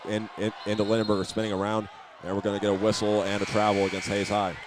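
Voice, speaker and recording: Low, even-voiced man calling basketball. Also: quick pace. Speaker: play-by-play announcer. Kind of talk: live basketball play-by-play commentary. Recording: noisy gym ambience behind the voice.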